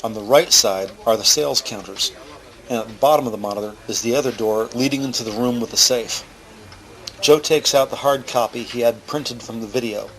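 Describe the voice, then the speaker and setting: A man narrating scenes from a script in a deliberate, descriptive way. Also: mid-range voice, a bit bright, rough recording.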